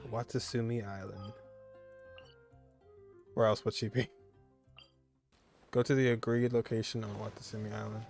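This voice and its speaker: A man's voice: lowish voice, flat delivery, moderate pace, vocal fry, pauses often, a little mellow, faint music behind. A man giving informal, offhand commentary as he plays through a video game. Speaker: gaming content creator. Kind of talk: casual game playthrough commentary.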